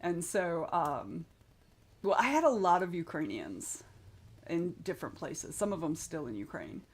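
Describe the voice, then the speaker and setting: A woman's voice: fairly low pitch, big pitch swings, a little slow, slightly rough. A young adult woman hosting a writing stream, chatting casually and reading out viewer comments, rambling and frank, with some swearing.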